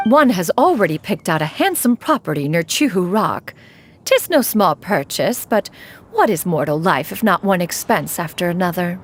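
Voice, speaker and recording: An actress playing a haughty, ancient immortal in a video game, who speaks in formal, archaic, imperious diction. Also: female voice, on the low side, very animated, moderate pace, soft background music. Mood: composed, stately. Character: haughty ancient crane immortal posing as an elegant woman. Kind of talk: scripted video game voice acting.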